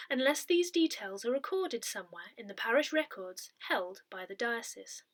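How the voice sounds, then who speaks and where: A woman narrates from a prepared script in a fairly high voice. Her intonation rises and falls a great deal. She keeps a measured delivery and enunciates with care, like a reader of an audio newsletter. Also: pushed and bright. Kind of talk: scripted narration, reading a written article aloud.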